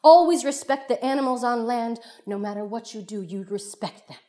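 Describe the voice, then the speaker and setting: A woman's voice: fairly high pitch, moderate pace, mellow, close to an everyday voice. A woman voicing a patient, earnest mother in a folk tale, teaching her young son with emphatic, instructive phrasing. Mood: earnest, firm.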